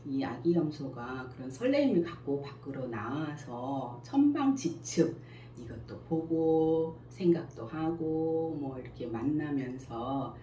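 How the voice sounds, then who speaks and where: A middle-aged woman with a very deep, low voice. She answers questions slowly and thoughtfully, often pausing to search for words. The recording is roomy, with a faint hiss behind her. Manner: calm, thoughtful, many pauses and fillers. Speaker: school principal and picture book author. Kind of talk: interview answers. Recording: echoey room with faint noise.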